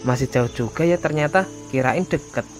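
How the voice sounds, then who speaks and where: A man voicing a withdrawn young Indonesian hiker who speaks briefly and casually in an animated horror story. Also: a little high, normal speed, lightly acted. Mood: subdued. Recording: faint music behind the dialogue.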